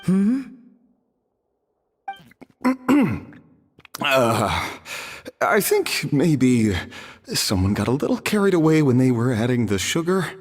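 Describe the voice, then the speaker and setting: A woman's voice: very deep voice, big pitch swings, takes it slowly, long pauses, breathy edge, exaggerated voice. A woman voicing an eager, hesitant, easily surprised food judge in a video game, tentative in manner. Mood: unsure. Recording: long reverb, faint music behind.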